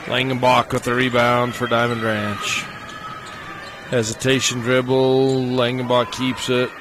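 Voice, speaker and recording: Man calling high school basketball play-by-play. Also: little pitch movement. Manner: rapid name calls, rising on made shots. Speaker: play-by-play announcer. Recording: game broadcast with gym noise. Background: crowd and court noise of the game.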